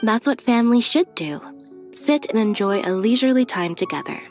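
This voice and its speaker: A woman voicing a reserved, deadpan young woman in a video game cutscene, calm and matter-of-fact. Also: on the high side, fairly quick, faint music behind, natural voice.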